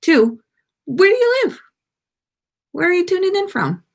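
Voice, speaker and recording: A woman's voice: very high voice, sing-song, a little slow, vocal fry. An American woman hosting a casual livestream, chatting to viewers in a playful, rambling way. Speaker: podcast livestream host.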